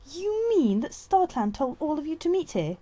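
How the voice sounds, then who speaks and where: A woman's voice: high-pitched, very animated, moderate pace, dark tone, voice pushed up. A woman voicing a feisty young female apprentice cat in an audiobook, indignant and challenging.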